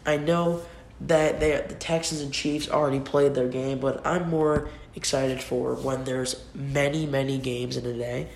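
A man's voice: mid-range voice, fairly even intonation, measured pace, vocal fry. A young man with a cold hosting a casual solo sports podcast, rambling and restarting sentences as he makes his picks. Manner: rambling, restarts often, informal. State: has a cold. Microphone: computer mic. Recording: echoey room with faint noise.

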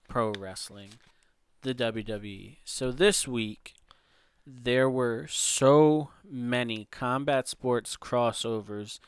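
A man's voice: mid-range voice, clean tone, no room sound. A man hosting a sports podcast, setting up topics and steering the conversation in a casual way. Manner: leads the talk, sets up topics, unhurried. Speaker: podcast host.

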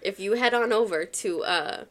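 A woman's voice: medium pitch, moderate pace. A young woman with a stuffy nose chatting casually on a podcast, full of "like" fillers. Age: young adult. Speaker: podcast co-host. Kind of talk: casual chat.

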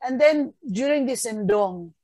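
A woman in her sixties with a Filipino accent, recalling her long career in measured, hesitant speech. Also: on the high side, lively intonation, warm tone, dry recording. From Philippines, Mindanao. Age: 60s. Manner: measured, hesitant with many fillers. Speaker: retired education official, guest. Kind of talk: reflective interview answers.

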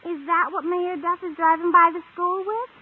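Through a dull old radio recording comes a chirpy teenage schoolgirl. Her voice sits extremely high, and she speaks with scatterbrained, guileless earnestness in 1950s sitcom style. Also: steady tone, moderate pace. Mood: eager, puzzled. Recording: muffled, noisy old-time radio broadcast.

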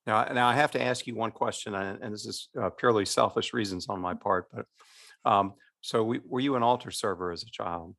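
A man's voice: on the low side, brisk, vocal fry, a bit bright. A man co-hosting a podcast as a parish priest, talking casually and rambling with restarts. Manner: rambling, many restarts and fillers.

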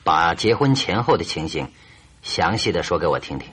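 A radio drama detective, probing and methodical. He prompts in measured questions with very animated pitch, over background music. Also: breathy edge, exaggerated voice.

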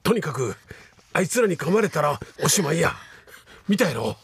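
An actor with a Kansai accent playing a panicky male researcher fleeing zombies in a comedic radio drama, urgent and excitable. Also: a little high, moderate pace, background noise. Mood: urgent, alarmed.

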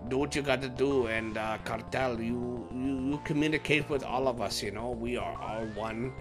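A man's voice: mid-range voice, normal speed, music in the background. A man co-hosting a podcast, rambling casually through the sign-off with lots of fillers and restarts. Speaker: podcast co-host.

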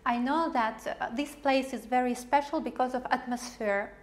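High-voiced woman TV interviewer. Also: steady tone, normal speed. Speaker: TV channel host, interviewer. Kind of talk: interview questions.

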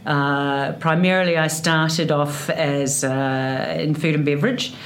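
Low-voiced New Zealand woman, halting. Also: steady tone. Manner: hesitant, many fillers. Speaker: hotel executive guest. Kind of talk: interview answers.

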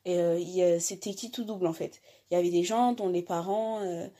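A teenage girl, a high school student, telling her own story haltingly with many fillers and restarts. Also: steady tone, mellow. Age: teens. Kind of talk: personal testimony.

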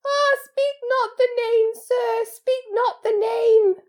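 A woman voicing a small, squeaky, breathy male house-elf in an audiobook, servile, fearful and devoted, pleading in the third person. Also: steady tone, slow, darkish tone. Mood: distressed.

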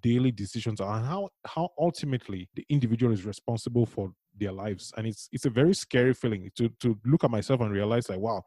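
A man's voice: mid-range voice, fast talker, hardly any pauses, warm tone, no echo. A young adult man from Cameroon, a writer musing thoughtfully as an interview guest, often restarting his sentences. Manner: thoughtful, rambling, many restarts. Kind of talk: reflective conversation.

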